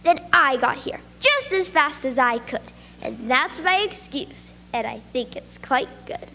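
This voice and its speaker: A woman's voice: sits high, lively intonation, a little slow, creaky, sharp tone, long reverb tail, a little hiss or hum, heavily acted. A woman reading the part of a flustered schoolboy who stammers out a long, breathless excuse. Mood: anxious.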